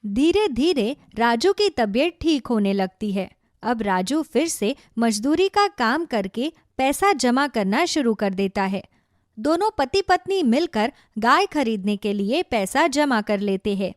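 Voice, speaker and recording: Woman narrator with slightly high voice. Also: sing-song, a little slow, dry recording, studio quality. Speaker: story narrator. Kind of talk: scripted narration of a cartoon moral story.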